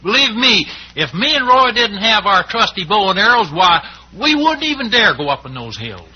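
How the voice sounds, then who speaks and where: An actor playing a folksy, teasing cowboy sidekick with a Western drawl in an old-time radio show. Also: male voice, high voice, sing-song, normal speed. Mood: playfully teasing. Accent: American Western drawl. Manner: folksy, rambling, hesitant drawl. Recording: muffled, hissy old radio recording.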